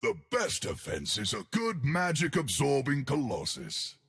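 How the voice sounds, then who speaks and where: An actor playing a huge, ancient living stone colossus for a video game, with a gravelly, husky voice and hearty, simple-minded bravado. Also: male voice, mid-range voice, wildly swooping pitch, measured pace, a bit bright, heavily acted. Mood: proud, amiable. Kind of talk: video game character voice lines.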